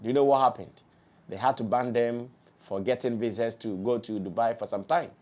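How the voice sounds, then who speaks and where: A man's voice: medium pitch, brisk, warm tone. A man with a Ghanaian accent giving an opinion monologue as a show host, emphatic and rhetorical, repeating points for weight. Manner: emphatic, repetitive, rhetorical delivery. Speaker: media commentator and show host.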